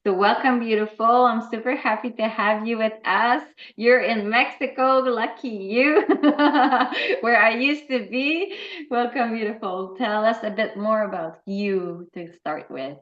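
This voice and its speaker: A woman hosting an interview podcast, greeting her guest in a warm, gushing way. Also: fairly high pitch, measured pace. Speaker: podcast host. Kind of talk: casual interview chat. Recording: dull, echoey room sound.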